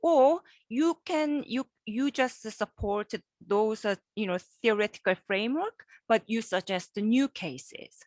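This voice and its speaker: A woman professor giving a guest lecture over a video call, halting and repeating words, often checking in with her listeners. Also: fairly high pitch, a little mellow, no room sound. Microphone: computer microphone on a video call.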